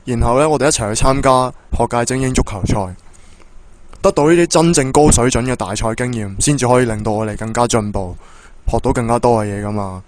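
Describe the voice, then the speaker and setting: A man playing an earnest, encouraging school football coach in a radio drama, speaking Hong Kong Cantonese in a measured, persuasive way. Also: medium pitch, close to an everyday voice. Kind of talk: Hobby radio drama acting. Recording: music bed under the dialogue.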